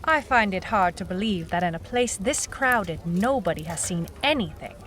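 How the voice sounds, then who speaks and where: A woman playing a wry, dogged private eye in a noir audio drama, speaking in clipped, deadpan phrases. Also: lowish voice, normal speed. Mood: probing.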